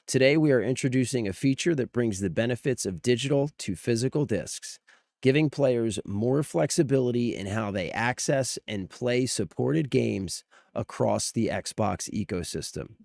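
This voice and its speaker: A man's voice: mid-range voice, normal speed, vocal fry, a little mellow, dry recording, clean studio sound. A man hosting a casual livestream, talking informally to viewers while sick, his voice strained. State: sick, voice strained from near loss. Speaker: live streamer host. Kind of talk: casual livestream commentary on gaming news.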